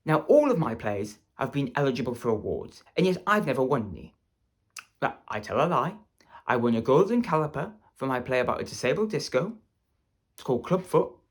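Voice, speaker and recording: A man's voice: medium pitch, sing-song, brisk, slightly rough. A man playing a self-important, aggrieved amateur playwright in an earnest, pompous comic stage monologue.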